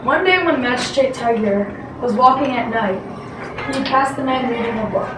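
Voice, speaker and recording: Noisy room, girl playing patient dad telling folk tales, highish voice, slightly halting. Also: close to an everyday voice. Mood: patient, storytelling. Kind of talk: amateur readers theatre storytelling. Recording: noisy echoey room, distant microphone.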